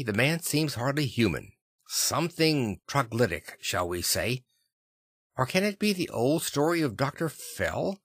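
A man voicing a perplexed, earnest gentleman lawyer in an audiobook, musing and emphatic. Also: mid-range voice, no echo, clean studio sound, exaggerated voice. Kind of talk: dramatic audiobook character reading.

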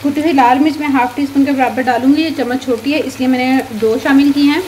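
Woman, high voice, homely cooking narration, blessings throughout, faint kitchen sizzle. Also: fairly even intonation, fast talker, noisy background. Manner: frequent religious blessings, homely instructive. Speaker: cooking channel host. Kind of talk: narrating a home cooking recipe. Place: home kitchen.

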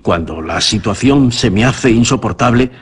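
A man's voice: medium pitch, expressive, normal speed, a little hiss or hum, lightly acted. An actor playing a nervous, evasive man haunted by hallucinations in a radio suspense drama, with hesitant, stammering delivery. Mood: haunted, insistent.

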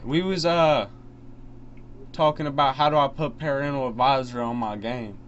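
A man's voice: medium pitch, steady tone, normal speed, lightly acted. A man playing an annoyed, clueless father on a prank phone call, asking insistently and repeating himself. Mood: puzzled, insistent. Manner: insistent, repetitive questioning. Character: annoyed, clueless father fretting over his son's game. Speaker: prank caller. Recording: dull, lo-fi phone call with faint noise.

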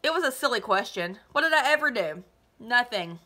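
A woman's voice: a little high, lively intonation, a little fast, pushed and bright. A woman in her thirties with a North American accent, reading a children's novel aloud expressively, like a teacher to students. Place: small living room at home, indoors.

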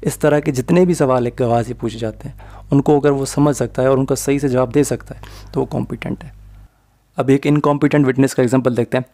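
Mellow-voiced Pakistani man teaching law. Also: mid-range voice, brisk. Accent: Pakistani Urdu. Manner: mixes Urdu-inflected Hindi with English legal terms, steady explaining. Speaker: law lecturer. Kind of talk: explanatory lecture over slides.

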